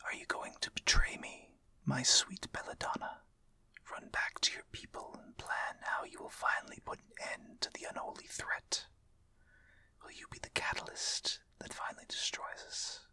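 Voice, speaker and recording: A man playing a courteous, commanding vampire lord in an intimate ASMR roleplay, speaking formally in a measured, breathy, near-whispered voice. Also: sharp tone, no room sound. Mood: quiet menace. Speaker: audio roleplay voice actor.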